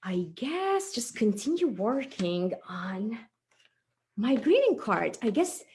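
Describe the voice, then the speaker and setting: A woman's voice: fairly high pitch, big pitch swings, slow, a little mellow, exaggerated voice. Children's puppet show: chatty, conscientious young child played by a woman, thinking aloud in simple words. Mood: thoughtful, earnest.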